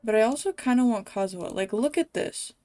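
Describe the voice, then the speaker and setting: A woman's voice: fairly high pitch, lively intonation, fairly quick, warm tone, dry recording. Casual woman streaming a game. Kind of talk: casual livestream chat while playing a game.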